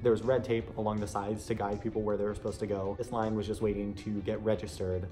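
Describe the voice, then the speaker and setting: A man's voice: lowish voice, little pitch movement, fast talker, over music. A young American man telling a story casually to camera in a chatty, conversational way. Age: young adult. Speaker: vlogger sharing an audition experience.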